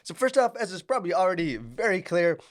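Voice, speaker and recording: A man's voice: high-pitched, very animated, fast talker, loud music behind the voice. A man who is sick and has lost his voice, chatting casually with many fillers and restarts as he reviews a film for his channel.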